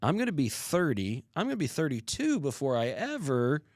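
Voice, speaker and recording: A man's voice: on the high side, huge pitch swings, normal speed, a little mellow, no echo, clean studio sound. A young adult man co-hosting a podcast, telling stories in a casual, earnest and reflective way. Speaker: podcast co-host.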